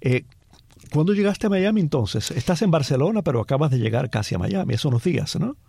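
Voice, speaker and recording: Husky male radio host. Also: a little high, expressive, fairly quick, warm tone, no echo. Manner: formal, effusive, enthusiastic. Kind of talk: interview hosting.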